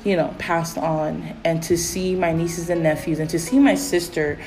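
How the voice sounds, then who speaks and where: Raspy-voiced woman podcast host. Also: fairly low pitch, normal speed, poor audio. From Pacific Islands. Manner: rambling, many fillers, animated when excited. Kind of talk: casual faith podcast chat.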